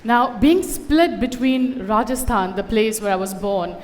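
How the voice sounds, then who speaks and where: A woman's voice: fairly high pitch, measured pace, darkish tone, big-room sound, a little hiss or hum. A woman who grew up in Dubai, giving a witty, conversational personal talk on a conference stage with wry asides. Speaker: conference speaker. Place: conference stage before an audience.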